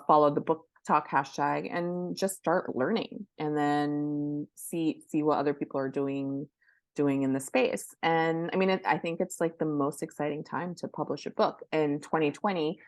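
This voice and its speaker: A woman's voice: deep voice, fairly even intonation, a little slow, dry recording. A woman answering interview questions casually as a podcast guest, sharing advice and anecdotes and repeating words for emphasis. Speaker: podcast guest, book marketing professional.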